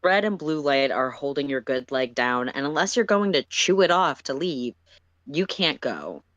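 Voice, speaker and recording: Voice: mid-range voice, lively intonation, moderate pace, a bit bright, dry recording, muffled recording, lightly acted. A sardonic, exasperated alien crewmate from a read-aloud story, put on by a narrator. He is dry and insistent, a bossy caretaker, in a voice with very little room sound.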